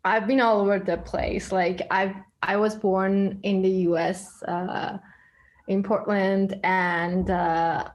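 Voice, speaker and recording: A woman in her thirties who grew up in Iran, telling her story in a relaxed interview over a video call, hesitant and full of fillers. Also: medium pitch, takes it slowly. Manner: hesitant, many fillers, laughs often. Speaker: guest, studio co-founder.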